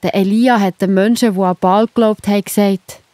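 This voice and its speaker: A woman's voice: medium pitch, steady tone, fairly quick, dark tone, dry recording. A woman with a Swiss accent narrating a children's Bible story, explaining simply and speaking directly to young listeners.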